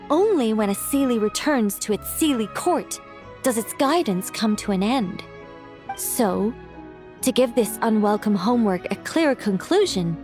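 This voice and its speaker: A woman playing a whimsical young witch in a video game, self-assured and breezy, musing in a gently theatrical way. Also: on the high side. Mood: explaining, thoughtful.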